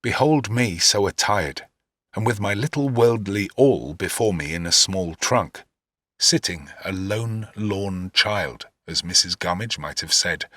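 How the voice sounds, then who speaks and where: A man narrating a classic novel in the first person, in an expressive literary reading style. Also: deep voice, no room sound. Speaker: audiobook narrator.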